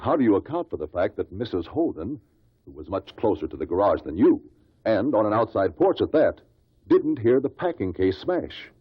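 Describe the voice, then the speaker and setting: An actor playing a shrewd, relentless investigator who questions witnesses deliberately in a 1950s radio drama. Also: male voice, lowish voice, big pitch swings, husky. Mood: probing, formal. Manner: deliberate, probing courtroom questioning. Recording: muffled vintage 1950s radio recording.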